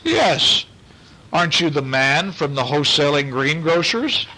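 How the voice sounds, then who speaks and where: Man putting on a pompous old English gentleman. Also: fairly high pitch, lively intonation, unhurried, pitched above the performer's own voice, heavily acted, poor audio. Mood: irritable, dismissive. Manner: pompous, clipped exclamations, upper-class old-fashioned phrasing.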